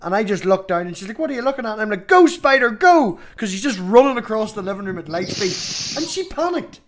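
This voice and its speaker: A man from Northern Ireland with a Northern Irish accent, chatting casually as a co-host in a lively, joking way with plenty of swearing. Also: sits high, quick pace, a little hiss or hum. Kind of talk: casual chat.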